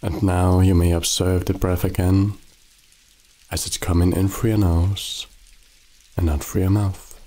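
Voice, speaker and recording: Low mellow guy doing a sleep meditation, super slow and soothing, rain in the background. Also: low-quality recording. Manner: slow, soothing, calm instructions. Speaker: meditation guide. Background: rain sounds.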